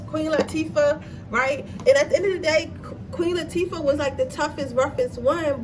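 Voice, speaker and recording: A woman giving a casual tarot reading, chatty and blunt, swearing freely and checking in with her viewers, her nose slightly stuffy. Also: high-pitched. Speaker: tarot card reader. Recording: distant mic with noisy background.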